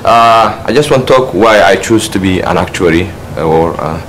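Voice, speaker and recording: A man from Eritrea giving a halting practice speech about himself, restarting often as he searches for words. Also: low-pitched, fairly bright tone. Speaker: speech trainee. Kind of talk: practice self-introduction speech. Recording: noisy room ambience.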